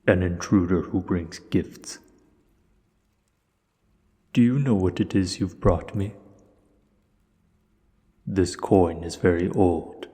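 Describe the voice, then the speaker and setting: Very deep, slow, imperious dragon. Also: male voice. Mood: intrigued, measured. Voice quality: deep, dark voice. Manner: slow, deliberate, formal, pompous. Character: huge male dragon, imperious, menacing, learned, coolly curious. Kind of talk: scripted audio roleplay voice acting. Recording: long cavernous reverb.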